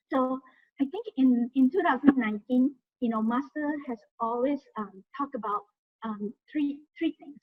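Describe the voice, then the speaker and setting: Female guest speaker, soft husky voice, casual and chatty, tinny phone-like audio. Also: high voice. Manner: chatty, leans on "you know". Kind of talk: casual talk to a study group.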